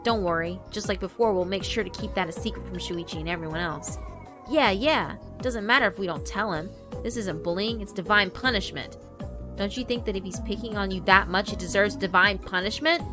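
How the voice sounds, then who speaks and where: A woman voicing hot-headed, vengeful teenage schoolboy bullies in a horror visual novel, loud and aggressive. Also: mid-range voice, a little fast, over music, natural voice. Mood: protective, self-righteous. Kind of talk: visual novel character narration.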